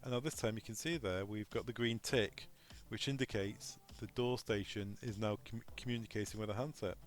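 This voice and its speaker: A man's voice: lowish voice, steady tone, brisk, faint music behind. A man presenting an unscripted technical tutorial, informally talking through each step aloud as he goes. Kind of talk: unscripted step-by-step software walkthrough.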